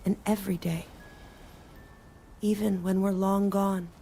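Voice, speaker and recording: An actress playing a wistful, solitary woman in a video game, narrating reflectively with measured pauses. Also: medium pitch, level tone, vocal fry, dark tone, faint music behind. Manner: measured, pausing between phrases. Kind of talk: slow, reflective scripted narration.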